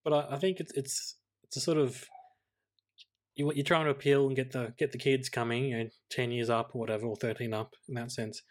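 An Australian man co-hosting a podcast, talking casually about films in a measured, tentative way, thinking aloud. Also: mid-range voice, steady tone. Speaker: podcast co-host. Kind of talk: casual film discussion.

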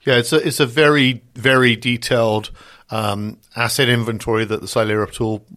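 A middle-aged man with a British accent answering interview questions as a guest expert, with hesitant, pause-filled phrasing. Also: mid-range voice, steady tone, fairly bright tone. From Britain. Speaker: podcast guest, security strategist.

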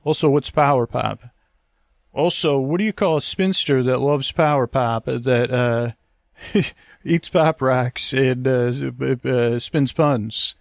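A man with a gravelly voice, rambling as a podcast host in a hesitant, stammering, meandering monologue meant for falling asleep to. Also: mid-range voice, slow pace, dry recording, clean studio sound.

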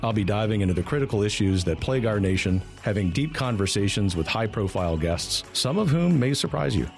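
A man's voice: on the low side, animated, a little fast, vocal fry, soft background music. A man reading scripted promotional narration for his podcast.

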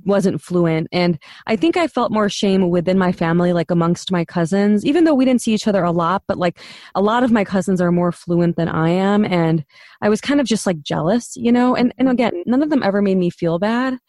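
A woman's voice: medium pitch, brisk, darkish tone, no room sound. Thoughtful young woman host, dry sound. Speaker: podcast host. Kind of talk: casual interview and scripted voice-over narration.

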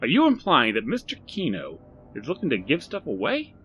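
A man's voice: mid-range voice, wildly swooping pitch, brisk, fairly bright tone, highs cut off, faint music behind, voice pushed up. A man voicing an eager, folksy male shopkeeper who is chatty and salesy. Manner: folksy, talkative.

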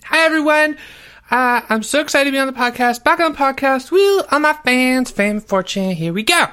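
An excitable, naive schoolboy, put on by a man, chats eagerly as a comic podcast guest. He rambles and overshares in a bright, fairly high voice. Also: normal speed. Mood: excited.

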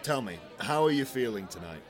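An actor playing a gushing, flattering male entertainment journalist interviewing on a red carpet. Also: mid-range voice, fairly quick, soft background music, lightly acted. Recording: outdoor red carpet, faint crowd behind.

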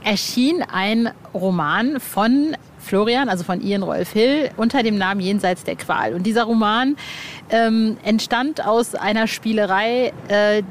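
A woman's voice: mid-range voice, measured pace, slight background noise. A woman hosting a podcast interview in a chatty, colloquial and effusive way. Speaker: podcast host.

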